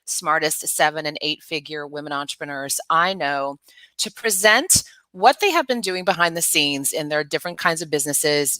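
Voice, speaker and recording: A woman's voice: sits low, sharp tone, dry recording, low-quality recording. A middle-aged woman hosting a live online interview show, speaking in an upbeat, chatty way. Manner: upbeat, chatty, often laughing. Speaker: host.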